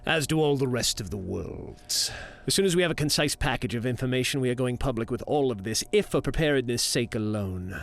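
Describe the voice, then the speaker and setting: Man acting a grave, creaky-voiced leader. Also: mid-range voice, expressive, fairly quick, natural voice. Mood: grave, resolute. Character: dutiful, weary male leader, formal and resolute. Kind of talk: audio drama acting.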